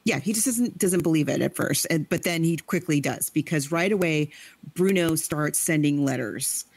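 A woman's voice: low voice, moderate pace, a little mellow, breathy edge, no room sound. A woman co-hosting a podcast, chatting casually about a book and acting out quoted lines in playful voices. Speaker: podcast co-host.

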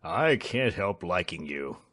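A man's voice: deep voice, very animated, slow, a bit bright, heavily acted. A man voicing a hearty, good-natured but self-important manservant in an audiobook, bluff and patronising. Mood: amused, patronising.

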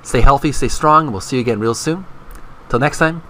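A middle-aged man hosting an informal read-along video, speaking haltingly and often repeating phrases. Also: mid-range voice, sharp tone, a little hiss or hum. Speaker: video host.

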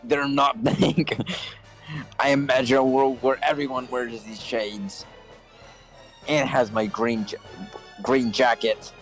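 A man's voice: mid-range voice, steady tone, takes it slowly, pauses often, natural voice. A man voicing a vain, unhinged cartoon villain doctor in an improvised comedy fan dub, with theatrical boasting. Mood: boastful. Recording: music behind the voice.

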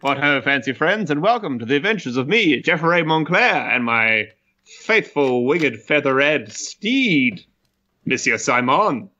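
A man's voice: on the high side, big pitch swings, unhurried, bright, forceful tone, highs cut off, heavily acted. A man playing a bombastic swashbuckling little mouse. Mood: grandiose, proud. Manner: grandiose, theatrical, florid old-fashioned phrasing. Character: pompous, chivalrous swashbuckling little mouse adventurer, male.

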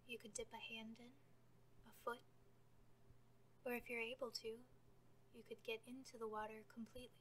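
A woman leading a guided meditation, speaking gently and calmly as she gives soft scripted instructions. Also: on the high side, moderate pace, long pauses, a little hiss or hum, rough recording.

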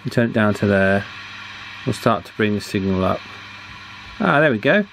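A man's voice: lowish voice, expressive, moderate pace, vocal fry, a bit bright, slight background noise, rough recording. A man giving an informal hands-on demonstration, hesitant and thinking aloud as he works. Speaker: radio repair hobbyist presenting. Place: indoor electronics workbench.

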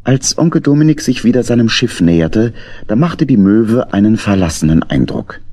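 A man narrating a children's story as an expressive scripted storyteller. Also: on the low side, normal speed.

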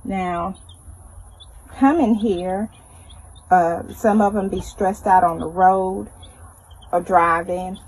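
A woman's voice: mid-range voice, takes it slowly, long pauses, husky. A middle-aged woman, giving casual commentary on a phone video in her backyard. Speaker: backyard chicken owner. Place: outdoors in a fenced backyard. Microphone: handheld phone behind the camera. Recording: noisy outdoor phone recording with birds. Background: chickens and chirping birds.

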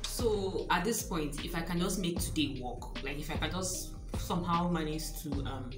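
A young Nigerian woman talks in a low, easygoing voice straight into a camera held close. She sounds chatty and a little unsure, laughing things off. The room rings with echo and music plays underneath. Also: normal speed. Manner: chatty, laughing often, unsure and self-teasing. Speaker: vlogger. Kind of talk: casual hair vlog. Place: small room indoors, rain outside. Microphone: camera close, at arm's length. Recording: echoey room with background music.

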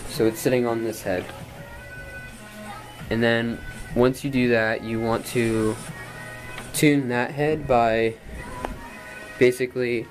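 A man in his twenties with a low voice, explaining drum tuning in a rambling, casual way with many ums, recorded in a small padded bedroom on a camera mic. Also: rough recording. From Florida, USA. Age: 20s. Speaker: drum instructor. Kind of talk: casual tutorial. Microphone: camera mic from a few feet away.

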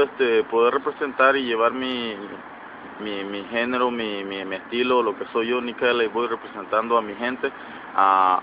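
A man in his twenties from the Atlantic coast of Nicaragua, a reggaeton artist answering interview questions outdoors with many fillers and restarts. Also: medium pitch. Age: 20s. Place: outdoors by a sports field in a city. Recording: lo-fi with street and traffic noise.

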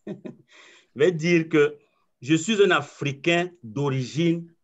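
A man's voice: fairly high pitch, takes it slowly. A man in his forties from Cameroon, a coach giving an online presentation in an earnest, self-assured way, often repeating words as he thinks. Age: 40s. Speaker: coach and trainer. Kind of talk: webinar presentation. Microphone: video call. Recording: slightly muffled video call audio.

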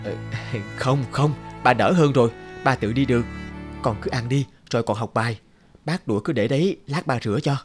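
A man voicing a devoted, hardworking young father in a cartoon, speaking gently and tenderly. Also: medium pitch, a little fast, husky, faint music behind, lightly acted. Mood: reassuring.

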